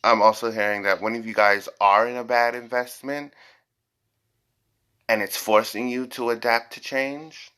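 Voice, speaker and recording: A man's voice: mid-range voice, fairly even intonation, moderate pace, sharp tone, smooth. A man giving an informal tarot reading to viewers, speaking bluntly and repeating phrases for emphasis. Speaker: tarot reader, host.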